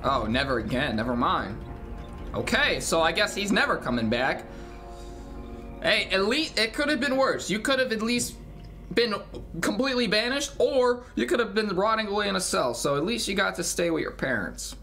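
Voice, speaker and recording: A young man in his twenties giving casual, low-key reaction commentary with muttered asides. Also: expressive, moderate pace, pushed and bright, soft background music. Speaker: reaction streamer. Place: small bedroom, indoors. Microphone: over-ear headset, desk mic.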